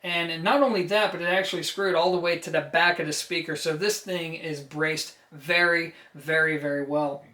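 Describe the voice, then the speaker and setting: A man's voice: fairly high pitch, steady tone, normal speed, few pauses, pushed and bright. A man with a New Jersey accent giving a conversational, enthusiastic and emphatic product review straight to his viewers. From New Jersey, United States. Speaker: audio equipment reviewer and host.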